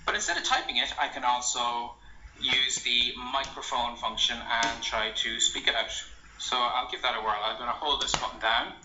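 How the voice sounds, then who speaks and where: A man, a language blogger, casually walking through an app on his phone, relaxed and meandering with lots of ums and uhs. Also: medium pitch, moderate pace, background noise. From Ireland. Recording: roomy, slightly dull home recording.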